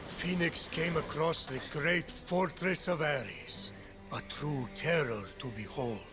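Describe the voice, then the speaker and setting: An actor playing a wise, patient storyteller of myths, narrating a video game in a measured, dry, solemn way. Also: male voice, fairly high pitch, over music. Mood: grand storytelling.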